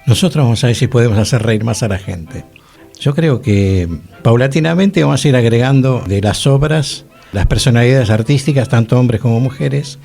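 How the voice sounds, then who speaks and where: An Argentine man with a Rioplatense accent talks as a panelist on a radio show. His pitch is average and his phrasing is courteous and a little formal. Faint music runs underneath. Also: normal speed, gravelly, a little mellow. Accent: Argentine Rioplatense Spanish. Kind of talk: conversational radio talk.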